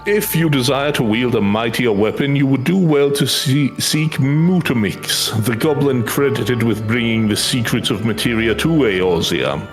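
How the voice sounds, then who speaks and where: A man voicing a learned, courteous mentor in a fantasy game, with measured and pompous old-fashioned phrasing. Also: medium pitch, faint music behind, natural voice. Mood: composed, instructive. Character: learned, courteous scholar mentor instructing his assistant. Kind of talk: fan read-aloud of fantasy game dialogue.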